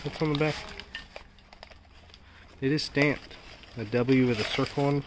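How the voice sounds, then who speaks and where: A man's voice: medium pitch, a little slow. A man giving casual, unscripted commentary in short exclamations and questions as he goes through things, as a vlog host. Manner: short exclamations and questions, talking while rummaging. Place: storage unit. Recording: clear background noise with handling clicks.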